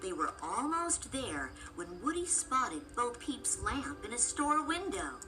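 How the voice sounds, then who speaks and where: Female children's story narrator: scripted, expressive, lively pitch, music underneath. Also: takes it slowly.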